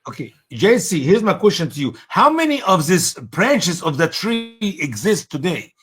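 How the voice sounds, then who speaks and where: A middle-aged man from Egypt with an Egyptian Arabic accent, co-hosting a casual panel discussion in an excitable, emphatic way. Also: moderate pace, husky, faint music behind. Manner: excitable, emphatic, swings high on key words. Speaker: co-host.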